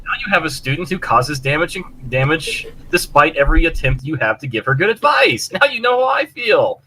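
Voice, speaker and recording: A man's voice: a little high, very animated, brisk, pushed and bright, slight background noise. A man on a casual fan panel, joking and acting out imagined quotes. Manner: jokey, acts out imagined quotes. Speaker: podcast panelist.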